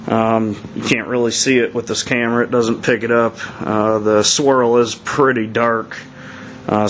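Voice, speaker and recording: Slow, even-toned man over background noise. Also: mid-range voice. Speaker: hobbyist builder. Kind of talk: casual unscripted product walkthrough. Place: carpeted living room, indoors. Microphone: camera mic, held by speaker. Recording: noisy handheld camera recording.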